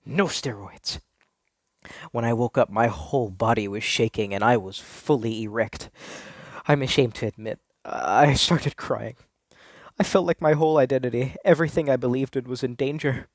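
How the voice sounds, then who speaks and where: A man's voice: medium pitch, moderate pace, no room sound. Theatrical man narrating, dry close sound. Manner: theatrical, earnest confessional delivery. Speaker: narrator reading a story. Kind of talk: dramatic first-person story narration.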